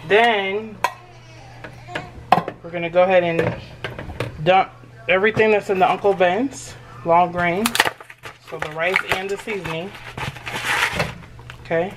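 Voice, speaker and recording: A woman's voice: on the low side, fairly even intonation, unhurried, pauses often. A chatty woman cooks at home and walks her viewers through each step, easygoing and reassuring. She is picked up by a camera mic across the kitchen. Cutlery and dishes clink in a noisy background. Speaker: home cooking vlogger. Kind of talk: casual cooking walkthrough.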